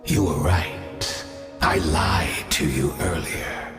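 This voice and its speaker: Game villain: ancient scheming exile, theatrical and mock-courteous, low gravelly voice, unhurried, fanatical about his cause. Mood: measured, mock-apologetic. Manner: theatrical, measured, mock-courteous, swelling into fervour. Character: grandiose scheming villain, centuries-old exile obsessed with reviving homeland. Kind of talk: dramatic video game voice acting. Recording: echoey hall reverb under music. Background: game score music.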